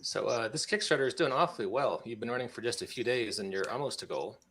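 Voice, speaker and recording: A man's voice: mid-range voice, lively intonation, quick pace, fairly bright tone. A man hosting a podcast, asking questions in a casual interview style.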